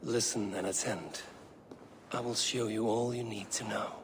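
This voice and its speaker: An actor playing a proud, scheming Norse god in a video game, with a measured, persuasive manner. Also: male voice, fairly low pitch, steady tone, natural voice. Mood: intimate, persuasive. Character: smooth-talking, fateful Norse god in disguise, proud schemer. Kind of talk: dramatic video game acting. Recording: game cutscene with clear background noise.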